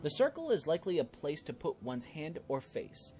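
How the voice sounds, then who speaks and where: A man playing through a role-playing game, reading its text aloud and adding casual, expressive commentary of his own. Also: a little high, normal speed. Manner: expressive, reacting out loud to the game. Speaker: gaming video host playing a role-playing game.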